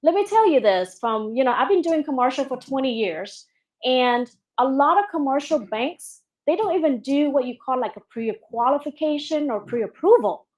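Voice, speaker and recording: A woman's voice: high-pitched, expressive, normal speed, a little mellow. A woman hosting a real estate seminar, chatty and jokey, often asking the room questions. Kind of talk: casual seminar talk.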